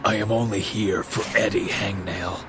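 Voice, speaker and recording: A man's voice: on the low side, steady tone, moderate pace, over loud music, lightly acted. A man acting as a stern, righteous masked vigilante in an audio drama, speaking in a solemn, formal, commanding way. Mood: stern, menacing.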